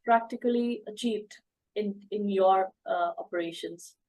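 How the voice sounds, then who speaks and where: A woman with an Indian accent, hosting a podcast and asking questions in a halting, filler-heavy way. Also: mid-range voice, a little mellow, rough recording. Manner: halting, many fillers and restarts, brief affirmations. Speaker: podcast host. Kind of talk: interview questions.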